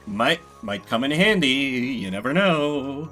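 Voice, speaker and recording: Man, game streamer, mid-pitched voice, very animated, casual commentary, faint music behind. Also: slow.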